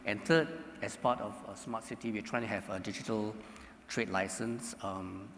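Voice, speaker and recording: A man from Singapore, speaking as a panelist in a measured, halting way with many fillers. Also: on the low side, fairly even intonation, fairly bright tone, slight background noise. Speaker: panelist, government economy official. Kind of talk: conference panel answer. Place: conference hall panel stage. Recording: reverberant conference hall.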